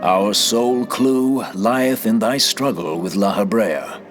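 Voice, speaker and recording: A man playing a solemn, courtly scholar of mysteries in a fantasy game, with formal, archaic, measured phrasing. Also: lowish voice, faint music behind. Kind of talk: fantasy game cutscene acting.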